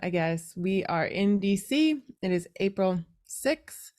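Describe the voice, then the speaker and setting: A woman's voice: medium pitch, expressive, slow, a little mellow. Slightly stuffy, nasal woman. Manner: chatty, conversational. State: congested from allergies. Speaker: podcast host. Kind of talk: casual podcast monologue. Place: indoors, recorded over a video call app.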